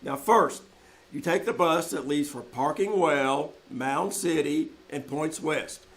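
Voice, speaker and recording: Man acting an excitable, high-pitched blustering city editor. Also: takes it slowly. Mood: fussy, insistent. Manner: blustering, stammering, emphatic. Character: excitable, easily exasperated male newspaper city editor. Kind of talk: 1940s radio comedy acting.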